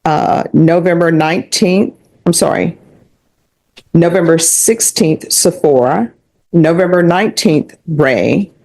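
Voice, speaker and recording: A woman's voice: fairly low pitch, takes it slowly, darkish tone. An American woman speaking as a judge in court, questioning and ruling in a firm, formal, matter-of-fact way.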